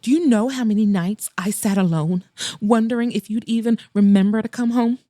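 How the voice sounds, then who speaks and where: A woman voicing a hurt, resentful female lead in a romance audiobook, sharp and accusing with open emotion. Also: mid-range voice, brisk, no room sound, studio quality, pitched above the performer's own voice, close to an everyday voice. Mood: bitter, hurt. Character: hurt, resentful woman confronting her former lover.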